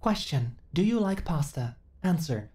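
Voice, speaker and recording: Soft, low-voiced female android, acted by a woman. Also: animated, unhurried, slight background noise. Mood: earnest, eager. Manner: stilted, formal, literal, robotic phrasing. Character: earnest, naive female companion android learning emotions. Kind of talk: ASMR sci-fi audio roleplay.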